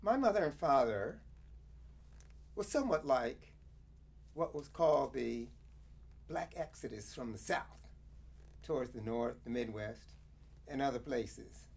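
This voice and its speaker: Male, 70s, American, for oral history recollection; unhurried delivery, formal and measured wording. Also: medium pitch, animated, vocal fry, a little mellow, breathy edge. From Connecticut, USA. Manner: deliberate, measured, formal phrasing. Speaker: interviewee, former attorney.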